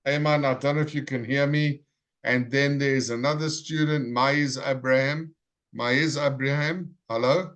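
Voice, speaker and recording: A male English teacher runs his online class over a video call. He speaks at an ordinary mid pitch, slows down to repeat each student's name clearly, and keeps a friendly, encouraging manner. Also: fairly bright tone.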